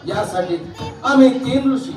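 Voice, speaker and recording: A man's voice: very high-pitched, measured pace, some chatter behind. A man playing a pious, solemn sage in folk theatre, declaiming grandly in a sing-song way. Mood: proud, declamatory. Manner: sing-song, grandly oratorical delivery with chanted invocations. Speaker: stage performer in a devotional mythological puppet play. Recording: echoey stage hall, far mic, music behind.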